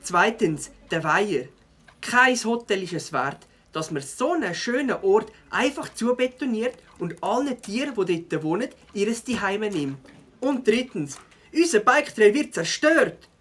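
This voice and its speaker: An earnest young boy beaver from a children's audio play, acted by a woman. He speaks slowly in a mid-range voice with a Swiss accent, caring and determined. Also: mid-range voice, big pitch swings, fairly bright tone.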